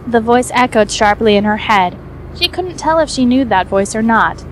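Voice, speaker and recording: High-voiced woman narrating fiction. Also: lively intonation, moderate pace, a little hiss or hum. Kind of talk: scripted fan fiction narration.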